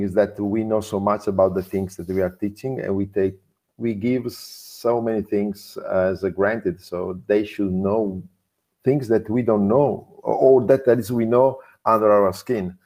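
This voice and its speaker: Hesitant low-voiced man hosting in English. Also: darkish tone. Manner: hesitant, many fillers and restarts, non-native phrasing. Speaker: interviewer, host. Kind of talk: interview.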